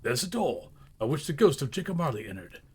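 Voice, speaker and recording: An overjoyed old reformed miser from a storybook, acted out by a man, gushing like an excited child. The pitch leaps and swoops freely as he whoops and exclaims breathlessly. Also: brisk, slightly rough. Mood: elated. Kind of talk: dramatised storybook reading.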